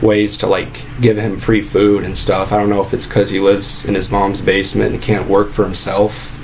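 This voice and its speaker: A man acting as an aggrieved fast-food worker with a fairly low voice, lodging a casual complaint. He rambles and stalls, repeating himself as he goes, over a noticeably noisy recording of a prank call. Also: completely flat delivery, lightly acted. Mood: earnest, aggrieved. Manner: rambling, halting, repetitive. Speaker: prank caller posing as a sandwich shop employee.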